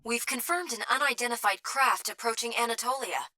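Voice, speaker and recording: A woman voicing an earnest, caring young mission operator in a video game, giving composed, clearly worded reports. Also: a little high, brisk, natural voice. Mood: focused, composed. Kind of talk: video game voice acting, radio briefings. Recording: loud music and machinery behind. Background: loud game music and vehicle noise.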